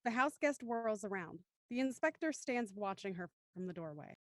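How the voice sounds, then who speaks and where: A woman's voice: mid-range voice, lively intonation, moderate pace, no echo, studio quality, close to an everyday voice. A woman narrating scene directions for an audio drama in a scripted storytelling style. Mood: matter-of-fact.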